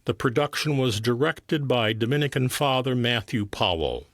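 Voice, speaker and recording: A man giving measured, formal scripted narration as the announcer of an audio play. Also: medium pitch, animated, loud music behind the voice. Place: professional recording studio.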